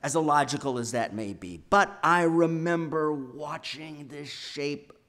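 An older man giving a sermon from a lectern in a church hall, telling a personal story in measured phrases with pauses and stressed words. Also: on the high side, fairly bright tone. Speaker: lay speaker giving a sermon. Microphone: gooseneck lectern microphone.

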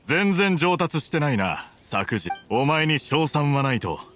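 Haughty, animated young samurai officer. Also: male voice, on the high side, unhurried. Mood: scornful. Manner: haughty, curt, dismissive. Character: proud, scornful young samurai officer, stern and cold. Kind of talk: Japanese anime game voice acting. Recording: music behind the voice.

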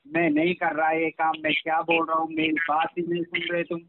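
A man's voice: on the high side, monotone, a little fast, dry recording. A man arguing on a phone call in an insistent, repetitive and pressuring way. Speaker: caller pressuring the other party. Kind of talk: casual phone argument. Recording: noisy, tinny telephone line with clicks.

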